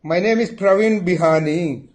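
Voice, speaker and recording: A man in his seventies with an Indian accent, telling an animated story about the small home he grew up in. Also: high-pitched, moderate pace. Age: 70s. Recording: echoey room, mic at a distance.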